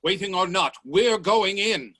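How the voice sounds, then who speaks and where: A man's voice: high voice, lively intonation, unhurried, sharp tone, dry recording, heavily acted. A man acting a bold, urgent professor and inventor in a radio play, with a commanding, emphatic delivery. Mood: determined.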